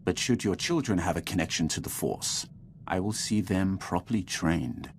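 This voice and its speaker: Proud stern Sith, low voice, formal weighty delivery, game villain, faint ambient noise. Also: male voice. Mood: grave, approving. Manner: formal, grandiose, deliberate. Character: proud, imposing male Sith lord, grave and stern. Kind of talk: video game dialogue acting.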